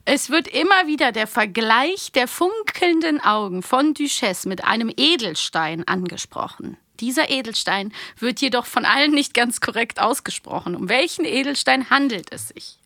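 Bright, high-voiced woman chatting. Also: lively intonation, moderate pace, few pauses, no echo, studio quality. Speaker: podcast co-host. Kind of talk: casual chat.

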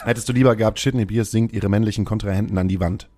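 A man's voice: fairly low pitch, fairly even intonation, rapid-fire, mellow. A man chatting casually on a podcast, cutting in with dry, teasing questions. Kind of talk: casual chat.

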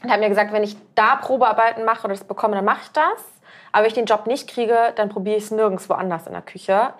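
A woman's voice: medium pitch, steady tone, fast talker. A woman around thirty, a podcast guest who tells her story in a casual, rambling way full of fillers and restarts.

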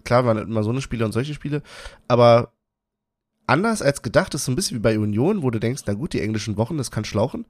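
A man's voice: medium pitch, expressive, fast talker, dry recording, clean studio sound. A man from Berlin co-hosting a casual football podcast, teasing and joking with his co-host. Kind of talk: casual chat about football.

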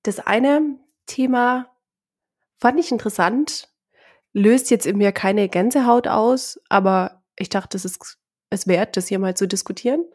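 A woman co-hosts a relaxed podcast while she has a cold. Her voice sounds husky and congested. She talks in a friendly way and laughs lightly now and then. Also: mid-range voice, measured pace. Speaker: podcast co-host. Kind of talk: casual chat.

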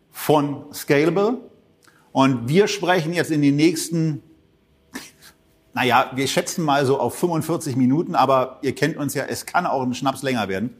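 A man with an ordinary mid-range voice co-hosts a finance podcast. He welcomes listeners in a lively, casual way and slips in small jokes as he introduces the guest. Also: mid-range voice, breathy edge. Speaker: podcast co-host.

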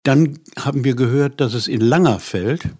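A man in his seventies from western Germany, a musician being interviewed, recalling his past casually and haltingly, often breaking off to search for words. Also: mid-range voice, darkish tone, husky. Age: 70s. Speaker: interview guest, musician and writer. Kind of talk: casual personal recollection.

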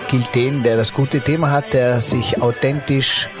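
Ein Mann mit österreichischem Akzent, der als Gastgeber im Gespräch lebhaft erzählt und oft nach Worten sucht. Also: male voice, mid-range voice, moderate pace, over music. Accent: Austrian German. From Austria. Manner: lively, searching for words with fillers and restarts. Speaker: podcast host. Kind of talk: casual interview talk.

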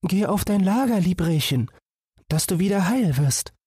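Storybook little sister, caring but anxious girl, very high, tender voice done by a male reader, dry sound. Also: expressive, normal speed, mellow, breathy edge, dry recording, full character voice. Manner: tender, admonishing.

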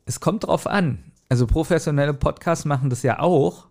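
Ein Mann, der als Co-Host eines Podcasts ungezwungen plaudert. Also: male voice, a little high, moderate pace, a little mellow. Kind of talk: casual podcast chat.

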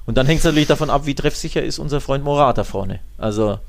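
A man's voice: medium pitch, fast talker, vocal fry, a little hiss or hum. A man chatting casually as co-host of a football podcast, often tacking short questions onto his sentences. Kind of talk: casual sports podcast chat.

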